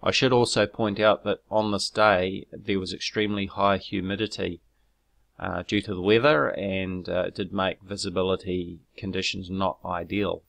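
A man's voice: deep voice, steady tone, vocal fry, fairly bright tone. A man narrating over his own footage, explaining step by step with frequent ums and restarts, turning argumentative when rebutting critics. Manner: measured and explanatory, with frequent ums and self-corrections. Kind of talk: narrated screen walkthrough.

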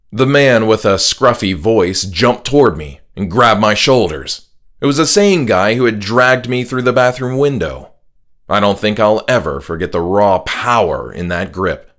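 A man reading a scripted first-person horror story as an audiobook narrator, in a measured, dramatic way. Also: lowish voice, lively intonation, a bit bright. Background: music.

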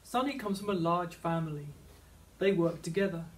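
A man's voice: high-pitched, moderate pace. A man narrating a children's picture book, read aloud as a teacher would. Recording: slightly distant microphone.

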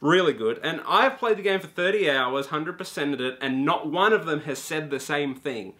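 A young man giving an animated, casual spoken review to camera in a small room, full of ums and casual swearing. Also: a little high, normal speed. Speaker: video game reviewer. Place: small indoor room with a brick wall and shelves.

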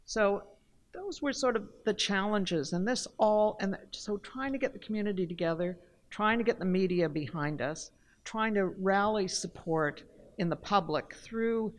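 A woman's voice: medium pitch, unhurried. An older woman from Canada, speaking on a panel in a large hall into a table mic, telling her story earnestly and with emphasis. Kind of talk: panel discussion talk. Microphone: table mic on a stand.

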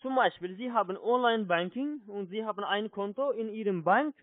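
A man with a foreign accent, not a native German speaker, giving a halting phone sales pitch and often checking he is followed. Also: no room sound. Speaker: telephone sales agent, scammer. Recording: muffled low-fidelity phone line.

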